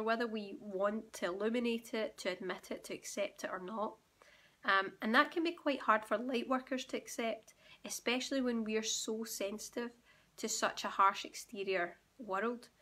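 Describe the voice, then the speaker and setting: A woman with a croaky, sore-throated voice, gently explaining spiritual ideas straight to her viewers while talking at home. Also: medium pitch. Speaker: spiritual awakening guide and channel host. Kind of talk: direct-to-camera teaching monologue.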